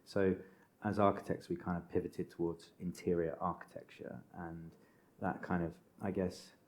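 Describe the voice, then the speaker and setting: A man, an architect turned interior designer, explaining his path on a panel in a relaxed way. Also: sits low, normal speed. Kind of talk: panel discussion. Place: stage at a design event.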